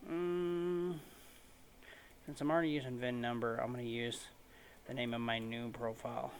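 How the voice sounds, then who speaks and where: A man giving informal, unscripted, somewhat halting commentary as he hosts a let's-play video. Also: mid-range voice, steady tone. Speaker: gaming video host. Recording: faint noise in home recording.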